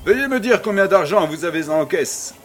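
An actor playing a jovial, pleasure-loving baron in an audio drama, with a grand, amused and urbane manner. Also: male voice, high voice, animated, moderate pace.

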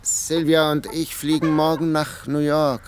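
An actor playing an uneasy assassin who poses as a polite visitor in a radio drama. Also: male voice, a little high, little pitch movement, unhurried, slight background noise, natural voice. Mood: guarded, polite. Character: tense male assassin posing as a polite visitor. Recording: music behind the voice.

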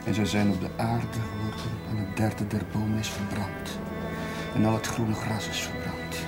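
An actor playing an earnest, troubled village chaplain giving a solemn, rhetorical sermon in a television drama. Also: male voice, medium pitch, lightly acted. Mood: grave. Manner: solemn, measured rhetorical delivery. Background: loud music.

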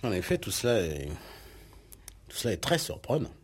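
A measured doctor in an old French radio police drama, with a husky, low voice. He is formal and cautious, his delivery swinging expressively. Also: sing-song. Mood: pensive surprise. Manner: formal, deliberate. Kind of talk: 1960s French radio play acting.

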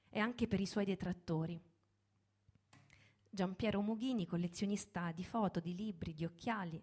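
A woman giving a theatrical, declamatory reading in deliberate phrases, like a stage performer telling a story. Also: mid-range voice. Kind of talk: theatrical dramatic reading over music. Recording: live radio reading with music underneath.

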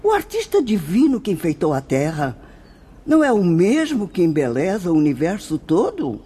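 A woman's voice: on the low side, wildly swooping pitch, moderate pace, dark tone, breathy edge, full character voice. A Brazilian woman playing a venerable nun who guides spirits in a radio drama, speaking in a solemn, declamatory, tenderly instructive way. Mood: ardent wonder. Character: venerable, devout nun guiding spirits in the afterlife.

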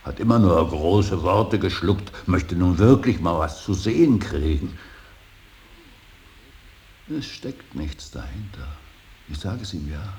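Very deep, gravelly old painter. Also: male voice, animated, slow, long pauses, heavily acted. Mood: bitter self-doubt. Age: older. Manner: solemn, intense, swings from hushed whisper to outburst. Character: stern, brooding old painter-professor and father. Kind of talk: 1980s German radio drama acting. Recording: hissy radio drama recording.

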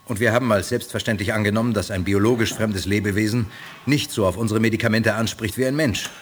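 A male actor as a confident expedition doctor in a radio drama, earnest and reasoned. His voice is somewhat low, with faint noise behind it. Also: steady tone, quick pace, natural voice. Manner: reasoned, assured. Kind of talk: 1980s German radio play acting.

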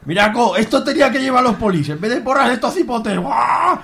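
A man playing a crude, excitable young joker in a radio drama, clownish and colloquial with an Aragonese accent. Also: extremely high pitch, fairly quick, vocal fry, heavily acted. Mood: excited.

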